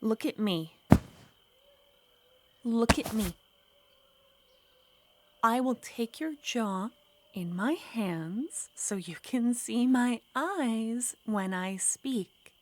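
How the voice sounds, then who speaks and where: A woman voicing a clingy, menacing yandere witch in an ASMR roleplay, speaking in a soft, coaxing whisper. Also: a little high, lively intonation, drawn-out words, pauses often, slight background noise.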